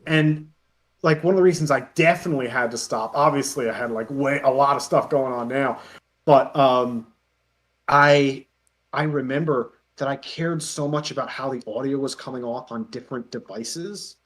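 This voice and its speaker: A man chatting casually as an interview guest, telling self-deprecating anecdotes in an animated way. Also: medium pitch, moderate pace. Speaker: interview guest, web parody creator.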